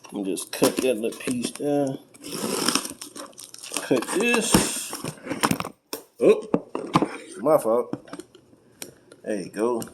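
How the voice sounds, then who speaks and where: A man's voice: mid-range voice, big pitch swings, extremely slow, long pauses. An American man chatting casually and informally as he does a hands-on product unboxing, with a folksy, colloquial way of talking. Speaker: vlogger unboxing and reviewing car audio gear. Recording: clearly noisy home-video recording.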